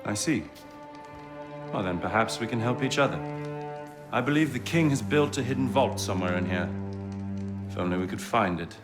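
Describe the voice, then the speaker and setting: An actor as a suave, low-voiced, wry officer. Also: male voice, expressive, moderate pace, pauses often, natural voice. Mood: wry, sly. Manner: urbane, dryly witty, articulate. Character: suave, wry, cynical male army officer. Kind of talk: video game cutscene acting. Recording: music behind the voice.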